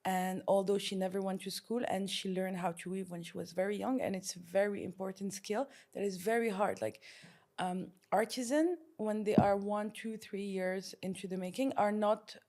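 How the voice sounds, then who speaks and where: A woman's voice: mid-range voice, fairly even intonation, normal speed, a little mellow. Founder woman, thoughtful with many fillers. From France. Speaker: startup founder. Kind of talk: casual interview answers.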